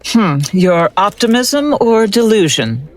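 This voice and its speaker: A woman playing a skeptical, exacting forensic analyst in an audio drama, with a deadpan, sardonic way of talking. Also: mid-range voice, slow. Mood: dry, sardonic.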